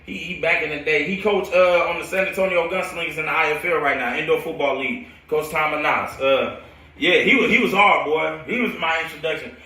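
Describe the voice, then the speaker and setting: An American man who coaches football, telling stories casually and in an animated, slangy, rambling way on a live stream. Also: fairly high pitch, brisk. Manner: animated, slangy, laughs often. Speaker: football coach hosting a storytelling show. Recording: roomy echo, distant from the mic.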